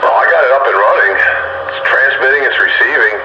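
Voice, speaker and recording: A man's voice: mid-range voice, fast talker. A man chats casually with fellow hobbyists over a two-way radio link. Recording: roomy, dull, very noisy two-way radio.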